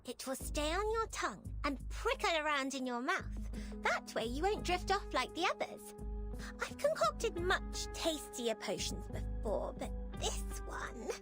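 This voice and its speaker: A dreamy little potion maker, with a very high, lilting voice. She savours her words with eager, sing-song whimsy, as in a fantasy game, with music playing behind her. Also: very animated, a little slow. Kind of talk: video game character acting.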